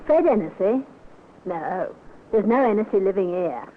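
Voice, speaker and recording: A garrulous, protective landlady played by a woman in a radio crime play. Her pitch is very animated and her manner folksy and a touch indignant. The old broadcast is narrow and noisy. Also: moderate pace, natural voice. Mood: defensive, chatty. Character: chatty, defensive female boarding-house landlady. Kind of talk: old-time radio acting.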